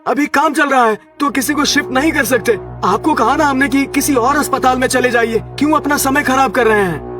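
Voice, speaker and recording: A man's voice: extremely high pitch, fairly quick, voice pushed up. A man voicing an anxious, superstitious, pleading hospital ward boy in a cartoon horror story, with music behind. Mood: nervous, insistent. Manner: stammering, pleading. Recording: music under the voice.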